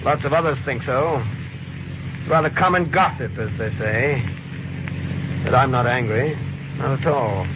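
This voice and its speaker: An actor playing a jealous, armed husband with calm, sardonic politeness in an old-time radio thriller. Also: male voice, mid-range voice, unhurried, long pauses, natural voice. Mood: coolly menacing. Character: menacing jealous husband with a gun, coolly polite. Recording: dull, noisy old radio recording.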